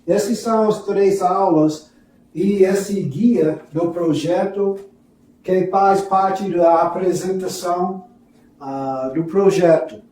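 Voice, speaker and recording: A man with a non-native, foreign accent teaches a religious lesson and tells a story, speaking haltingly and apologetically. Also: high-pitched, dark tone. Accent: foreign, non-native Portuguese. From foreign to Brazil, a self-described gringo. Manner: halting, hesitant in Portuguese, apologetic. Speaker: religious teacher presenting a course. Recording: echoey room, distant from mic.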